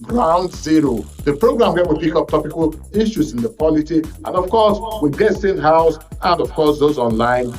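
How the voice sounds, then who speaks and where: A man with a Nigerian accent presents a current affairs programme as its host, in a deliberate, formal broadcast delivery. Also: fairly high pitch, animated, darkish tone, faint music behind. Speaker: radio and TV talk show host.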